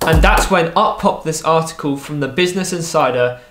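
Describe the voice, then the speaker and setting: A young man narrating a personal story in a vlog, lively and wry, with playful asides. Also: a little high, a little fast, echoey room, over music. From England. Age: young adult. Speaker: vlogger. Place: small room with a desk lamp, indoors.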